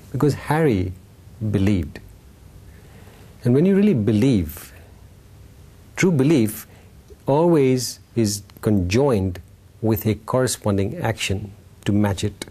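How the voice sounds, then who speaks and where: Husky, mellow male storytelling teacher. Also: on the low side. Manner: informal, playful, acting out dialogue, checking in with listeners. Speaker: Buddhist teacher giving a talk. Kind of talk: animated storytelling Dharma talk to a live group.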